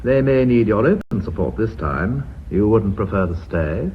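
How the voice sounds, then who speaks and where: A man's voice: on the low side, lively intonation, moderate pace, lightly acted. An actor with a British accent playing a composed, polite and formal company chairman in a radio drama. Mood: calm, in control. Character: courteous, composed senior knighted company chairman. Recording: dull, slightly noisy radio drama recording.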